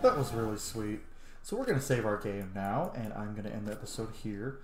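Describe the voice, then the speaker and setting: A man's voice: on the low side, animated, a little fast, darkish tone, slight background noise. A man hosting a let's play with casual, chatty commentary, thinking and reacting aloud. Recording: roomy echo, game music behind.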